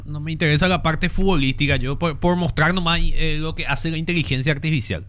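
Chatty radio host, relaxed. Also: male voice, a little high, brisk. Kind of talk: casual radio chat.

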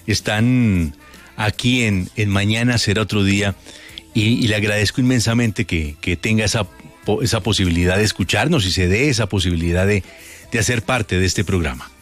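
A man's voice: lowish voice, normal speed, soft background music. A Colombian man hosting a late-night radio show, playful and hesitant with many fillers. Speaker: radio host.

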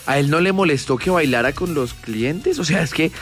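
Male Colombian co-host, clearly high voice, slangy casual interjections, light music bed. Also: expressive, moderate pace. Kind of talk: casual banter.

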